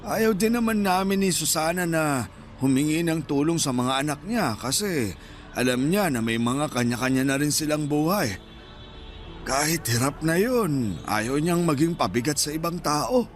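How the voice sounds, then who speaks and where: Casting: male actor as contented elderly street vendor dad, calm and reassuring, fairly high voice, radio drama. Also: normal speed, faint music behind, natural voice. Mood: resigned, matter-of-fact.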